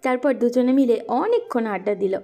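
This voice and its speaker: Female narrator, high pitch, soft dark tone, scripted storytelling, faint music. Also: animated, moderate pace.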